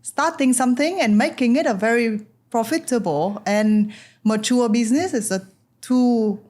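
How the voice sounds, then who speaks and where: A woman's voice: a little high, normal speed, darkish tone. A woman from Vietnam hosting a podcast, chatting casually and conversationally with a guest. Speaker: podcast host. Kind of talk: conversational interview, casual chat.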